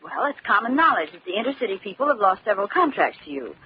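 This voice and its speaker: A woman's voice: mid-range voice, very animated, fast talker, natural voice. A woman playing a plucky, wry insurance investigator in an old-time radio drama. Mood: composed. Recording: muffled, hissy old radio recording.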